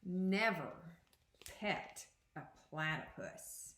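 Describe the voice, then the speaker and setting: A woman teacher giving an interactive read-aloud for young children, reading deliberately and pausing to put questions to her listeners. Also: on the low side, a bit bright, reverberant. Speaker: second grade teacher.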